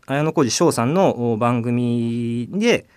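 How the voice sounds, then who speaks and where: A man's voice: mid-range voice, animated, takes it slowly, a little mellow, smooth, no room sound. A male co-host explaining things in radio chat, polite and deferential to his partner. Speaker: podcast co-host.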